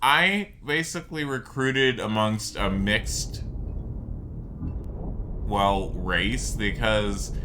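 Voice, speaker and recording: A man giving unscripted game commentary, explaining things in a rambling way and circling back to restate his points. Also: mid-range voice. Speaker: gameplay video host.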